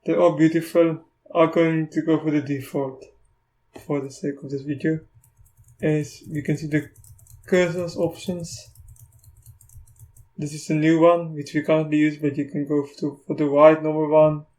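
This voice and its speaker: Halting South African man, flat voice. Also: a little high. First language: Afrikaans. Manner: halting, restarts often, thinks aloud. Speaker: tech YouTuber. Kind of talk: casual screen-recorded software walkthrough.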